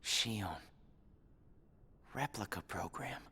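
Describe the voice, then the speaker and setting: A man playing a confused, troubled and quietly resentful teenage boy in a video game cutscene. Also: sits low, drawn-out words, slightly rough, full character voice. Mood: brooding, confused.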